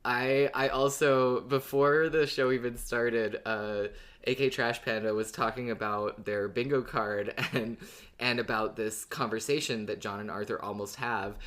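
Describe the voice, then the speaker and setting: A woman's voice: extremely low pitch, fairly even intonation, unhurried. A woman co-hosting a casual fan podcast, chatting enthusiastically in a conversational way. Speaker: podcast co-host.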